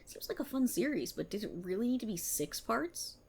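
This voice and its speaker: A woman's voice: on the high side, very animated, a little fast, vocal fry, a little mellow, slightly rough, full character voice. A woman performing an ASMR roleplay as a smug, vindictive multiverse police officer, with a theatrical, sarcastic and mocking delivery. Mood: gloating, vindictive.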